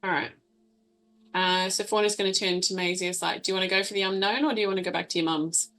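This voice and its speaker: A woman's voice: mid-range voice, steady tone, fast talker, a bit bright. An Australian woman chatting casually as a player in a tabletop roleplaying game. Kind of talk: casual chat.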